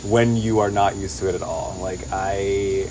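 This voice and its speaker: Low-voiced Californian man chatting casually. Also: steady tone, a little slow, background noise. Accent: Californian American. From Los Angeles, United States. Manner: informal, peppered with "like". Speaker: interview guest, singer-songwriter and digital nomad. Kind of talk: casual chatty interview answers.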